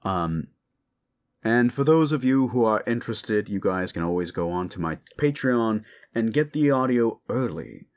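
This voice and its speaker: A man's voice: fairly low pitch, expressive, measured pace, darkish tone. A man speaking as host and voice actor, giving an enthusiastic, emphatic thank-you outro to listeners.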